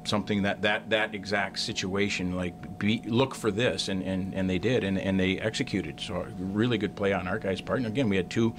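Middle-aged male coach, low voice, halting with restarts, postgame presser on a desk mic with a faint rumble. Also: steady tone. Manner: halting, repeats words, many restarts. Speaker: head hockey coach. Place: press room in front of a sponsor backdrop. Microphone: desk mic on a stand.

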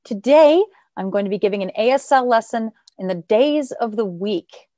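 A woman's voice: on the high side, animated, moderate pace, dark tone, no room sound, studio quality. A woman in her thirties, a librarian giving an informal lesson for children through an earphone mic. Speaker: librarian teaching sign language. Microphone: earphone mic on a video call.

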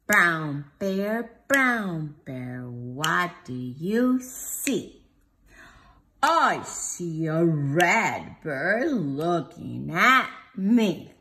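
A woman preschool teacher reading a picture book aloud to young children in a sing-song, drawn-out way. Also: fairly low pitch, sing-song, sharp tone, slight background noise. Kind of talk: children's storybook read-aloud. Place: home dining room, indoors.

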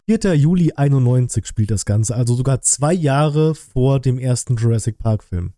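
A man's voice: medium pitch, lively intonation, normal speed, mellow, dry recording. A man, giving casual livestream commentary as a reaction host, close to the microphone indoors. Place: indoor room at home. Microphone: close streaming microphone.

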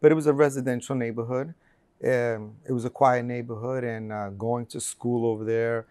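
Man in his forties, from Colombia, earnest interview storytelling, lapel mic, dry sound, medium pitch. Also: a little mellow, dry recording. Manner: earnest, with many fillers and restarts. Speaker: interview guest. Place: bright room with large windows.

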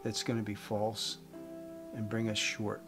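A man in his fifties giving an earnest evangelistic talk to camera as a host, phrasing deliberately with emphasis. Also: fairly low pitch, monotone. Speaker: host giving a faith message. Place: indoors, room with wooden beams. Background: guitar music.

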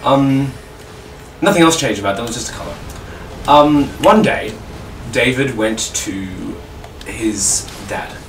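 A man's voice: mid-range voice, expressive, measured pace, pauses often. A man joking crudely and casually as he chats over gameplay and makes up silly stories. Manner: jokey, crude, giggly. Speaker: gaming video co-host improvising stories. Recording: distant mic with faint noise, roomy.

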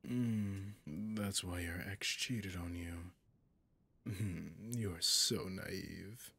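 A man voicing a seductive, possessive, menacing demon in an ASMR horror roleplay, husky and often whispering, with a teasing, condescending drawl. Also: deep voice, drawn-out words, bright, forceful tone, no echo, exaggerated voice. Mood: coaxing.

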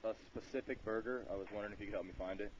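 A man's voice: fairly low pitch, steady tone, very fast. A man making a casual prank phone call, rambling and slangy while keeping a straight face. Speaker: prank caller. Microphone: telephone. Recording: muffled noisy phone line, clicks.

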